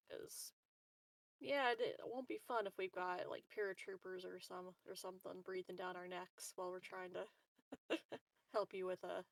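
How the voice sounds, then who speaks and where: A woman's voice: on the low side, gravelly, no room sound. A woman playing a tabletop roleplaying game, chatting casually in character with hesitant, informal phrasing.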